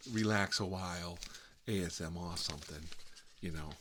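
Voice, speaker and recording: A man's voice: sits low, normal speed, noisy background, close to an everyday voice. A man playing a fussy, gossipy fellow who lives with his mother, rambling and repeating himself in an ASMR comedy role-play. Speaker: ASMR channel host. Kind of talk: ASMR comedy role-play, one-sided chat with a visitor.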